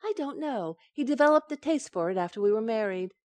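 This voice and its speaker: A woman playing a teasing, quick-witted housewife in an old-time radio sitcom, with wry comic timing. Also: fairly high pitch, animated, no echo, close to an everyday voice. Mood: bright, teasing. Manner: wry, sharp comic retorts.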